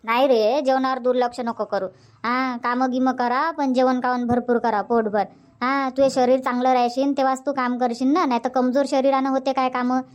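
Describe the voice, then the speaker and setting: A woman's voice: high voice, level tone, moderate pace, muffled recording, lightly acted. A woman voicing a chatty older village aunt in a Marathi cartoon comedy, scolding and motherly, with a Varhadi accent from Vidarbha. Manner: scolding, motherly, repeats herself.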